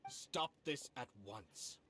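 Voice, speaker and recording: Calm, courteous nobleman, medium-pitched. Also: loud music behind the voice. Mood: cool authority. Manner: composed, polite, measured. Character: calm, courteous, commanding young male nobleman. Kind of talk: scripted game dialogue.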